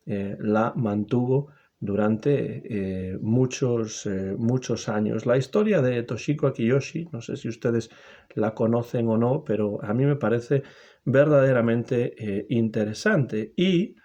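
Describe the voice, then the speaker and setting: Hesitant man with a mellow voice. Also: medium pitch, dull audio. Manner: measured, frequent hesitant "eh" fillers. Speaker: jazz video commentator. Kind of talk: unscripted spoken commentary.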